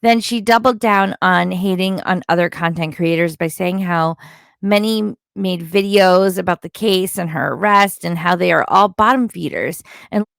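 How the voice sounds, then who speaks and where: A woman's voice: on the low side, moderate pace, vocal fry, fairly bright tone, no echo, studio quality. A woman delivering opinionated, partly scripted recap commentary in a critical, disapproving manner, like an online content creator.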